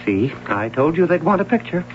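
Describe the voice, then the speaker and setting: A worried, testy American father speaks with a lively, swinging pitch. It comes from an old radio play, dull in sound with clear background noise. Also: a little fast. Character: worried, testy husband and father of missing daughter. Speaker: actor. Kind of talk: old-time radio drama acting. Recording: dull, noisy old radio recording with hum.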